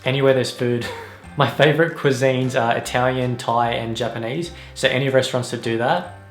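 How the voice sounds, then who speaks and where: A man's voice: mid-range voice, steady tone, moderate pace, echoey room, faint music behind. A young Australian man chatting upbeat and answering fan questions, who laughs often. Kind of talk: casual vlog Q&A.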